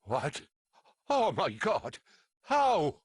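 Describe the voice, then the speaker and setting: A man playing a polite, fretful gentleman in a video game, courteous and hesitant in his dramatic delivery. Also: fairly high pitch, wildly swooping pitch, drawn-out words, husky, dry recording, exaggerated voice. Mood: shocked, regretful. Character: polite, anxious gentleman worried for his missing companion. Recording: loud noise behind the voice.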